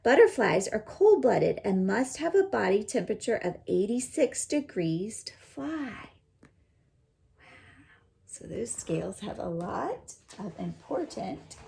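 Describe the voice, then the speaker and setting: A woman teacher reading a children's picture book aloud and pausing to explain it in simple words. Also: medium pitch, vocal fry, warm tone.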